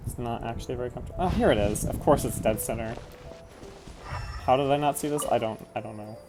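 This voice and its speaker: A man with a mid-pitched voice streams a video game, thinking aloud casually. He is wry and a little exasperated, with game music clearly audible behind him. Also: fast talker. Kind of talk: casual live gameplay commentary.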